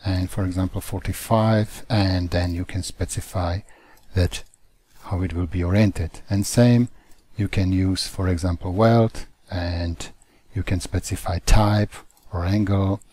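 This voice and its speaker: Slow, husky-voiced man teaching software. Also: deep voice, steady tone. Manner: slow, halting phrasing with non-native grammar. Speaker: CAD software tutor. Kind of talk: screen-recorded software tutorial.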